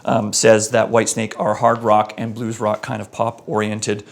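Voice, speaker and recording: A man hosting a live-stream debate show, reading out viewer comments in an energetic, joking way, his voice a little hoarse. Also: lowish voice, normal speed. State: voice going a bit hoarse. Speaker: host.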